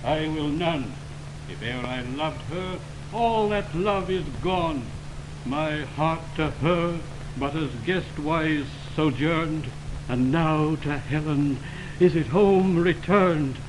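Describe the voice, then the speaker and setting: A man playing a proud, combative young rival lover with measured, declamatory 1920s radio Shakespeare delivery. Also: on the high side. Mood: firm, haughty. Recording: noisy 1929 radio broadcast on optical film.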